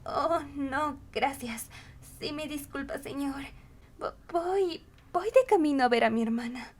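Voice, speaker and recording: A woman's voice: sits high, a little slow, pitched above the performer's own voice. A woman voicing a timid, easily frightened young woman in a storybook, flustered and apologetic. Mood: flustered, scared.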